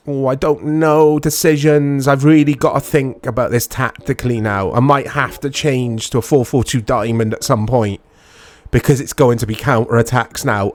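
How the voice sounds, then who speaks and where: A man giving casual commentary on a football video game in short reactive fragments, his voice strained and a little hoarse. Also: medium pitch. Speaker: gaming channel host.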